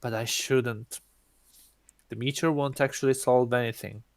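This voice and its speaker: A man's voice: mid-range voice, fairly even intonation, a little slow, dry recording, natural voice. Man voicing a self-important, aloof god. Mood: musing. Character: self-proclaimed god posing as student, aloof, impatient, deluded. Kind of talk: first-person visual novel narration.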